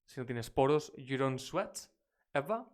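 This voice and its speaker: Spanish-born English teacher on a live stream, British-leaning accent, lively chatty delivery, mid-pitched voice. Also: male voice, moderate pace. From Spain. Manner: energetic, chatty, reacting to clips.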